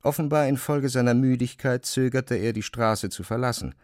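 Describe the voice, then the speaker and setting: A man narrating a literary radio drama in German in a measured, deliberate way. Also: medium pitch, darkish tone, no echo, clean studio sound.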